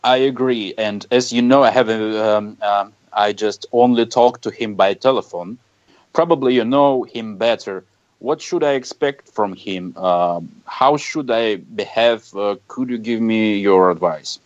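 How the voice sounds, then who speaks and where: A man who is not a native English speaker playing a polite foreign prime minister on a phone call, with halting, choppy phrasing. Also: fairly low pitch, no echo, natural voice. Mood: deferential. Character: polite male prime minister of Armenia seeking advice. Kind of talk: prank phone conversation. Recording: dull phone call recording.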